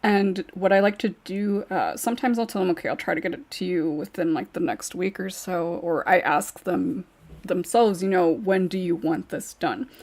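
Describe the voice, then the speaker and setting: A woman's voice: fairly low pitch, moderate pace, darkish tone. A woman hosting a casual panel discussion, speaking in a hesitant way and often circling back over her words. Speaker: podcast host.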